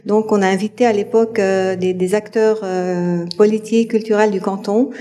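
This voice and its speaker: A woman's voice: medium pitch, steady tone, moderate pace, warm tone. A woman in her fifties from France, speaking as a guest on a public panel, hesitant with many fillers and restarts. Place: public hall with audience. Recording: reverberant hall.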